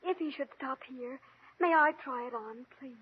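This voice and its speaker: An actress playing a meek, gentle young scullery maid in a fairy-tale radio drama. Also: female voice, high-pitched, normal speed, slightly rough. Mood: meek, pleading. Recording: muffled old radio recording with music.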